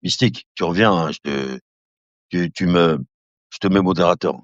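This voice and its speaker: A man in his late fifties talks casually on a live stream, calling his viewers brother and laughing as he rambles. His voice sits very low. The sound is dull and lo-fi, dry and close with no room around it. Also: normal speed, dry recording. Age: 50s. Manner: chatty, addresses viewers as brother; laughing often, relaxed rambling.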